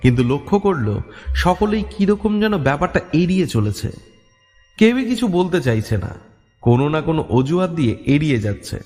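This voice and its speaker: A male narrator with a somewhat high voice tells a horror tale from a script. His delivery is that of a dramatic storyteller, set in a long reverb. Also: sing-song, normal speed, darkish tone, faint music behind.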